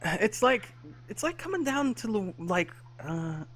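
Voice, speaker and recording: A man chats on a podcast, pausing often with fillers and correcting himself mid-sentence. His voice sits a little high for a man. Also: big pitch swings, a bit bright. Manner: hesitant, many fillers and self-corrections. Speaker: podcast co-host. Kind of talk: casual chat.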